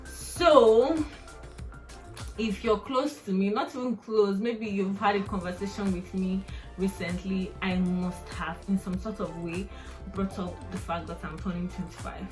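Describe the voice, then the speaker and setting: Young Nigerian woman, mid-range voice, casual talk, quick laughs, faint music bed. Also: medium pitch, fairly even intonation, rough recording. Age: 20s. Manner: chatty, rambling, laughs often. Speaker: vlogger. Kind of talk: casual personal vlog.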